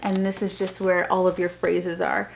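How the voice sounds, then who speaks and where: A woman's voice: on the low side, little pitch movement, normal speed, creaky, a little hiss or hum, rough recording. A woman speaking casually to viewers as a homeschooling vlogger, explaining things in a chatty, informal way with loose, trailing sentences. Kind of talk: casual unboxing review to viewers.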